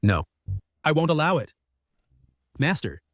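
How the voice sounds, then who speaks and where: A man's voice: fairly high pitch, sing-song, a little slow, dry recording. This is a man playing a devoted young soldier in an anime dub. He is desperate and protective, with a widely swinging intonation. The recording is dry and close.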